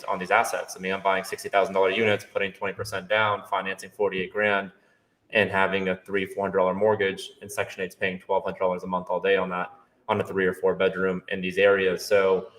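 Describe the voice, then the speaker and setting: A young man from Brazil, a guest on a podcast, answering in a relaxed, matter-of-fact way. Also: sits low, poor audio. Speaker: guest, real estate investor. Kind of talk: casual interview answers.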